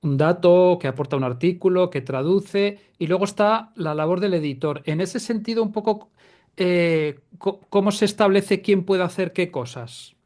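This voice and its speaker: A man hosts an interview. His voice sits a bit high for a man, and he frames his questions in a loose, conversational style. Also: normal speed, darkish tone. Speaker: interviewer, host.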